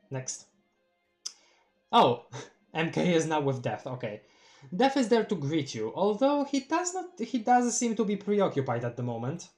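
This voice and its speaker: A streamer from Poland with a light Polish accent, reading a webcomic aloud on a live stream in a relaxed, chatty way. The delivery is giggly and excitable, with frequent stumbles over long words. Faint music plays underneath. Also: medium pitch.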